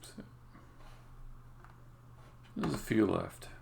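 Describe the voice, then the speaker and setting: A man live-streaming game development, thinking aloud in halting, muttered, exasperated asides, unscripted and talking to himself. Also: lowish voice, sing-song, creaky, darkish tone, a little hiss or hum.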